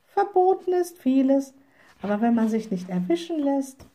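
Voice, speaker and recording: Woman playing a high-pitched, defiant little witch. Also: very animated, a little slow, darkish tone, heavily acted. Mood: defiant, dismissive. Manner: stubborn, indignant. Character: defiant, hot-tempered little young witch girl. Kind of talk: storybook character voice.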